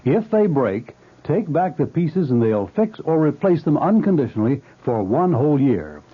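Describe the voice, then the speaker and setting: A man's voice: fairly high pitch, big pitch swings, normal speed, breathy edge. A man announcing a scripted 1970s radio commercial with punchy, emphatic selling. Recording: tinny old radio broadcast with music.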